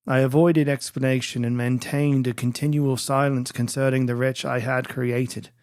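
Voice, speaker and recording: A narrator reading a literary story in a formal, measured, dramatic audiobook style. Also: medium pitch, level tone, darkish tone, no room sound, studio quality.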